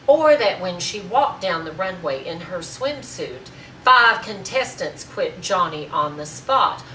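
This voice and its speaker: A woman's voice: low-pitched, big pitch swings, a little slow, a bit bright, heavily acted. A woman playing a proud, indignant Southern lady defending her sister in an emphatic, grandiloquent monologue. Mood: indignant pride. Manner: grandiloquent, emphatic, building declamation. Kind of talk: theatrical comic tirade monologue. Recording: echoey, noisy room, distant mic.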